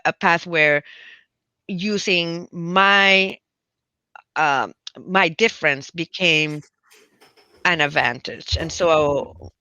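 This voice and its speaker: A woman's voice: on the low side, bright, forceful tone, clear, resonant tone, no room sound, lo-fi sound. A middle-aged woman with a Puerto Rican accent, speaking thoughtfully as an interview guest, often restarting her phrases. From Puerto Rico. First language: Spanish. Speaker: guest scientist. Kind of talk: reflective interview conversation.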